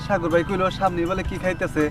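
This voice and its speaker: A man's voice: sits high, little pitch movement, quick pace, distant mic, over music, lightly acted. A man voicing a trusting, coaxing village husband in a Bengali cartoon.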